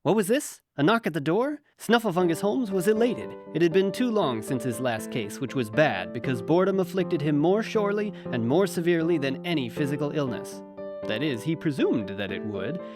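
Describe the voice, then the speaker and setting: A woman's voice: deep voice, animated, normal speed, over music. A woman voicing a grandiose, playful fluff-ball creature who poses as a great detective, with florid, theatrical phrasing in a comic audio drama. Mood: grand self-narration. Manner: pompous, theatrical, florid old-fashioned phrasing.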